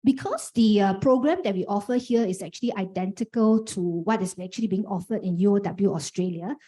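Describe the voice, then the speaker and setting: A woman's voice: mid-range voice, brisk, mellow. A woman presenting a programme briefing, explaining step by step with many "okay" fillers. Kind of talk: information briefing.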